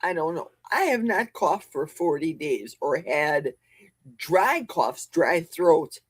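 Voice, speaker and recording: A woman with a husky, slightly low voice hosts a relaxed livestream from her basement, chatting and joking with her viewers. She talks at an unhurried pace into a close desk mic, and the sound is dry and close. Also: dry recording. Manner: chatty, jokey, reads viewer comments aloud and answers them. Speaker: livestream host. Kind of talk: casual livestream chat. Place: home basement. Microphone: desk mic with a cough button.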